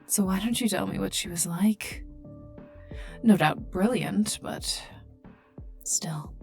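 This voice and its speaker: A woman playing a cold, sardonic, menacing female scientist in an ASMR roleplay, taunting the listener in breathy half-whispers. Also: on the low side, heavily acted. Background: calm ambient music.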